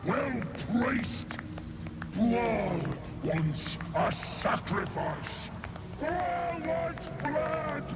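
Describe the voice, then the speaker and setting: An actor playing a boastful, bloodthirsty little fey creature that poses as a god, menacing and grandiose, in a fantasy game. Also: male voice, very high-pitched, drawn-out words, heavy background noise, heavily acted. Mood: gloating. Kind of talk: fantasy game character acting.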